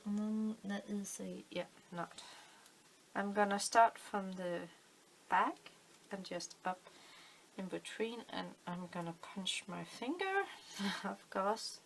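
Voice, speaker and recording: Danish woman, middle-aged, craft tutorial host; slow, fry in the voice, a faint room hiss behind her. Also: medium pitch, expressive, rough recording. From Denmark. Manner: unhurried, thinking aloud with many fillers. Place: indoors at a table, hands on camera.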